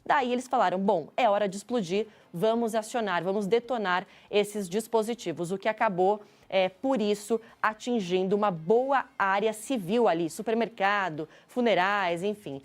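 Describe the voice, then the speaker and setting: A woman's voice: medium pitch, normal speed, hardly any pauses. A woman with a Brazilian accent giving a live news report as a reporter, in an explanatory way.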